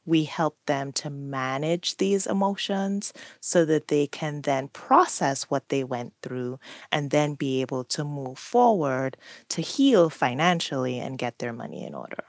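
A woman from Trinidad and Tobago, a psychologist and financial therapist, explaining in a measured way as a guest on a radio interview. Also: low voice, animated, no echo, clean studio sound.

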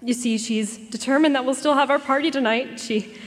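A woman playing a genteel, melodramatic young high-society daughter in an old-time style radio drama. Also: fairly quick, lightly acted. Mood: gracious, worried. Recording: live in echoey concert hall.

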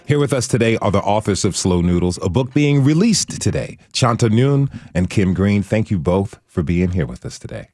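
A man hosting a radio show, reading a measured, scripted introduction and welcoming his guests. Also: fairly low pitch, expressive, darkish tone, no room sound, clean studio sound.

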